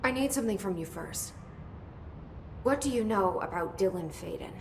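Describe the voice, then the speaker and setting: An actress playing a determined, plain-spoken young director in a video game, direct, guarded and understated. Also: female voice, medium pitch, animated, moderate pace, natural voice. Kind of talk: video game dialogue acting. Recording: echoey room, faint background noise.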